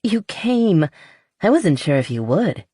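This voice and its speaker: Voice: medium pitch, big pitch swings, slow, warm tone, slightly rough, dry recording, voice pushed up, full character voice. Narrator as confident young woman, playful, teasing, upbeat, romance audiobook character, dry close sound. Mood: upbeat, welcoming.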